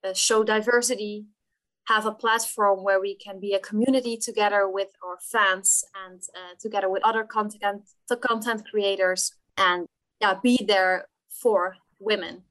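A Dutch-accented woman in her twenties speaks as a podcast guest. She talks earnestly, running through lists with small fillers, in a mid-pitched voice. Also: fairly even intonation, normal speed, fairly bright tone, poor audio. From Netherlands. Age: 20s. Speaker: marketing team lead guest. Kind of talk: casual interview chat.

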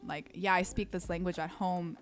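A woman's voice: fairly low pitch, flat delivery, moderate pace. A young woman whose first language was Korean, chatting casually as a podcast guest, filling her talk with "like" and laughing often. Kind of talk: casual conversation.

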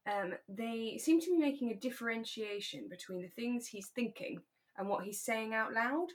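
A woman's voice: a little high, moderate pace. A woman co-hosting a podcast, chatting casually and explaining things as she discusses a drama series. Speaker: podcast co-host. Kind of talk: casual chat reviewing a radio drama.

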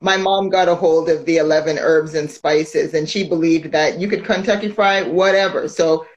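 A woman's voice: deep voice, fairly even intonation, normal speed, lo-fi sound. A woman hosting a casual interview on a phone, chatty and teasing, often laughing. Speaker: host.

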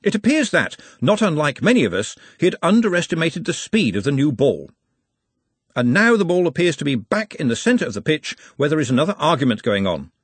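A man's voice: fairly high pitch, big pitch swings, brisk, breathy edge, no echo. Man doing a frantic florid newspaper editor. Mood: excited. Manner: breathless, florid sports commentary. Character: excitable male newspaper editor commentating a match.

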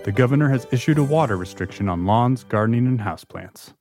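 An actor playing a formal, matter-of-fact public radio announcer reading sponsor notes and bulletins in an audio drama. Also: male voice, medium pitch, expressive, quick pace, a little mellow, faint music behind, lightly acted.

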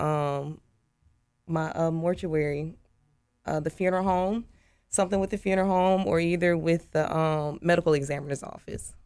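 A woman's voice: sits low, clean tone, no echo, clean studio sound. A woman answering questions casually as a guest in an interview.

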